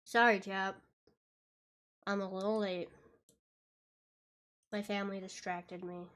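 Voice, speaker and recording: A woman's voice: mid-range voice, level tone, slow pace, fairly bright tone. A woman streaming a game, talking casually and apologetically to her chat while congested and sniffly from a cold. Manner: chatty, apologetic, easygoing.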